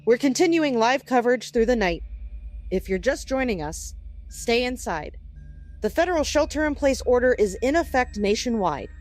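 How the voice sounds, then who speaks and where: Female news anchor played by an actress: grave, careful, slightly high voice, emergency bulletin delivery over music. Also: expressive, normal speed, darkish tone, close to an everyday voice. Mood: grave, measured. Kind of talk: scripted breaking news broadcast acting.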